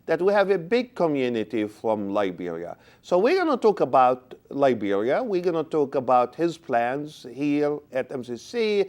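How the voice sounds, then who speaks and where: Lively male host asking many questions. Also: mid-range voice, moderate pace, few pauses. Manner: asks many follow-up questions, lively and encouraging. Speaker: talk show host. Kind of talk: community TV interview.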